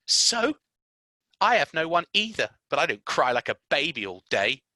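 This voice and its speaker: A storyteller voicing a proud, quick-tempered young girl with a high, clipped, indignant voice. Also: huge pitch swings, pushed and bright, slightly rough, no echo, heavily acted. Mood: bossy. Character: haughty, sharp-tempered young girl, Mary.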